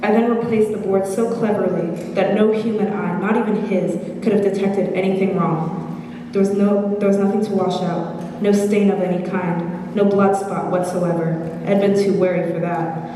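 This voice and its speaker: A woman's voice: mid-range voice, steady tone, normal speed, dark tone, close to an everyday voice. Calculating murderer played by a young woman, cavernous echo. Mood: cool, pleased. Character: calculating, self-satisfied murderer insisting on sanity. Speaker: high school drama student. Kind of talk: theatrical dramatic monologue. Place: school stage performance, far mic. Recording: very echoey hall from far off, noisy.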